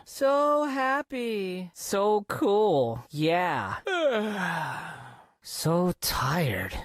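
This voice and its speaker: Tired game girl: very slow, drowsy sarcasm, dry close sound. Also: sing-song, no echo, full character voice. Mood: weary, sarcastic. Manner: drowsy, sarcastic, low-energy. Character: weary, sleepy deadpan girl who wins anyway. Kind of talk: video game character voice lines.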